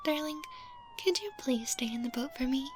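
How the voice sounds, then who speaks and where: A woman's voice: sits high, huge pitch swings, moderate pace, noisy background. A woman playing a clingy, obsessive, unhinged yandere girl in an ASMR roleplay, dramatic and pleading, drawing out her words. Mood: sweetly clingy.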